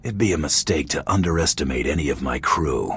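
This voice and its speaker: An actor playing a tough, cool bounty hunter in a video game, speaking in a dry, deadpan way. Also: male voice, very deep voice, a little fast, a little hiss or hum, full character voice. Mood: dry, warning. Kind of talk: video game character acting.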